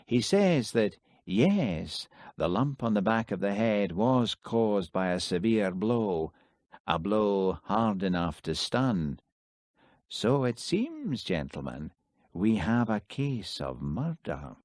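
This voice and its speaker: A stiff, self-important police chief who expects constables to know their place, as a male reader puts him on. He talks in a brusque, officious way. The voice sits low and sounds dry and close. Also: big pitch swings, takes it slowly, a little mellow, no echo. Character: pompous male detective superintendent.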